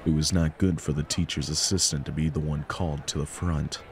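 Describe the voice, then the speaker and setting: A man's voice: very deep voice, little pitch movement, brisk, slight background noise. A man narrating a visual novel aloud as scripted story reading. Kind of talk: scripted narration with offhand asides.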